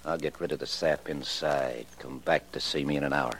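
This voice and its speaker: A man's voice: a bass voice, steady tone, moderate pace. A man playing a tough, shifty small-time swindler in a 1950s radio drama, with blunt streetwise slang. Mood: menacing. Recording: noisy old radio recording.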